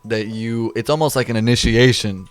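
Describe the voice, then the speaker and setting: A man's voice: mid-range voice, fairly even intonation, moderate pace, no room sound, faint music behind. A man co-hosting a podcast in casual chat, enthusiastic and rambling, often trailing off and restarting. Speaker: podcast co-host.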